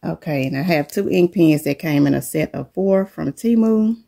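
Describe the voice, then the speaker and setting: A middle-aged woman coming down with a cold, showing the contents of her bag to viewers in a relaxed, unhurried chat from her living room. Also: deep voice, gravelly. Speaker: vlogger. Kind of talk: casual show-and-tell chat.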